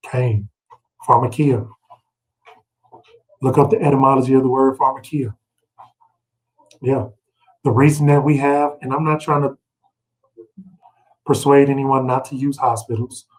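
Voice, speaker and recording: A man's voice: medium pitch, fairly even intonation, unhurried, long pauses, rough recording. A middle-aged man teaching scripture in a conversational, colloquial way, checking in with his listeners through rhetorical questions. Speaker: Bible teacher leading a read-along. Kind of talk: informal, conversational scripture teaching with personal anecdotes.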